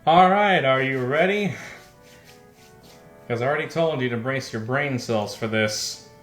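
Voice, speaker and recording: A man's voice: mid-range voice, a little slow, fairly bright tone. A man giving casual let's play commentary as host, self-deprecating and exasperated, often breaking into laughter. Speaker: game streamer, host. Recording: roomy echo, faint music behind.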